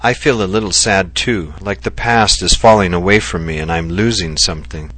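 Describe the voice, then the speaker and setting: A man voicing a gentle, loving Japanese wife who speaks earnestly and reflectively. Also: low-pitched, moderate pace. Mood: earnest, tender. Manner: earnest and heartfelt, softly reflective.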